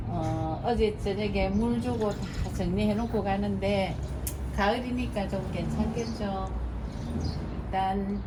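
Older woman, Gyeongsang accent, homely and slow-spoken mother, recorded at home with steady background noise. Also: medium pitch. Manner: slow, homely, speaks fondly to her plants. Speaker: vlogger's mother. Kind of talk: casual farewell talk.

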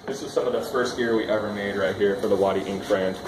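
A man in his thirties talks his way through a big indoor workshop, easygoing and full of "dude" and "like." His voice sits a little low and bounces around the roomy warehouse. A loud hum of air conditioning and machines runs under everything. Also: fairly even intonation, quick pace. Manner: laid-back, says "dude" and "like" a lot. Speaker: co-owner giving a factory tour. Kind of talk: casual walk-and-talk tour. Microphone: handheld camera following him. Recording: echoey warehouse with loud background noise.

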